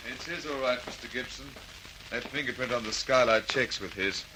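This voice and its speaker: An actor playing a dry, understated police investigator in an old radio drama. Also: male voice, mid-range voice, moderate pace, natural voice. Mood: matter-of-fact. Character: dry, matter-of-fact male police investigator. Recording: hissy old radio recording.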